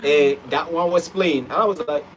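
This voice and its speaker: A man telling a story on a home vlog in a relaxed, hesitant way. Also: fairly high pitch, normal speed. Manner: relaxed, hesitant, with ums and uhs. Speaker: vlog co-host. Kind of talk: casual storytelling. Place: at home, seated. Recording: noisy home recording.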